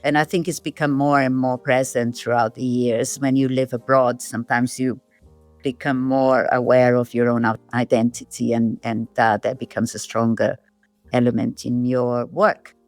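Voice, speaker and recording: A woman's voice: a bass voice, level tone, moderate pace, no echo, faint music behind. A middle-aged woman from Brazil, a designer and filmmaker, answering interview questions in a reflective, thinking-aloud way. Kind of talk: casual interview talk.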